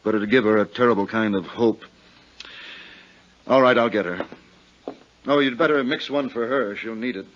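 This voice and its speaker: Smooth, low-pitched, bristling big American man, acted. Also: moderate pace, long pauses, a bit bright, slight background noise, lightly acted. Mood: subdued, earnest. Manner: smooth, controlling. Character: large, bristling, smooth-mannered, possessive man. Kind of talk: 1950s radio drama acting. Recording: dull 1950s radio recording.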